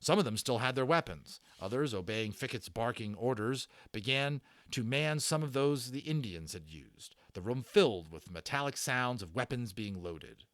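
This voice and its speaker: A man narrating a storybook as a dramatic, expressive read-aloud. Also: medium pitch, normal speed, hardly any pauses, no echo.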